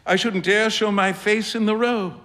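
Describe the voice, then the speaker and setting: A man voicing a sulky, embarrassed young gentleman in a story read on stage. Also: high-pitched, steady tone, normal speed, voice pushed up. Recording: reverberant live hall.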